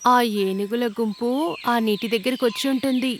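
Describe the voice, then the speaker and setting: Woman voicing a high-voiced worried cartoon mother cow. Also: normal speed, a little hiss or hum, close to an everyday voice. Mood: worried warning. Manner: motherly, cautioning. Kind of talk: animated children's cartoon dubbing.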